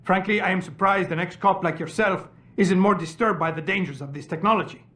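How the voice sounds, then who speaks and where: An indignant male doctor and activist in a dramatic video game. His voice is notably high for a man. He speaks with formal, articulate, pointed earnestness. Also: fairly quick, fairly bright tone.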